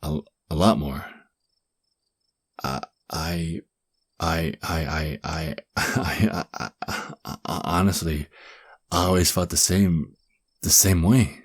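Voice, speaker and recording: Man playing shy lovestruck young best friend, very low breathy voice, slow hesitant delivery, ASMR roleplay. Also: fairly even intonation, heavily acted. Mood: flustered, hesitant. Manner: hesitant, stammering, breathy sighs and chuckles. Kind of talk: ASMR boyfriend roleplay acting. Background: crickets chirping.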